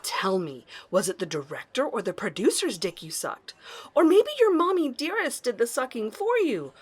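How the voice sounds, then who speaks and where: Woman playing a bold, self-possessed stranger, lively intonation. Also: a little high, moderate pace, slightly rough. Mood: mocking. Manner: blunt, mocking, self-possessed. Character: bold, sharp-tongued mystery woman, coolly confrontational, privately kind. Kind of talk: audio drama acting.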